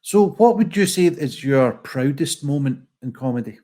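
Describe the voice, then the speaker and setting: A man's voice: mid-range voice, lively intonation, normal speed, dark tone. A man hosting an interview, asking questions and giving brief encouraging replies. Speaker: interviewer host. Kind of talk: casual interview.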